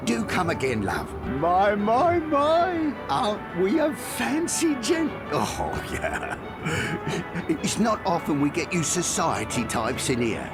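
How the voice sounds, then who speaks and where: A man voicing a wheedling, shifty pawnshop dealer in a cartoon game, effusive and stammering with theatrical flattery. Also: fairly high pitch, full character voice. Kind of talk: scripted cartoon game acting.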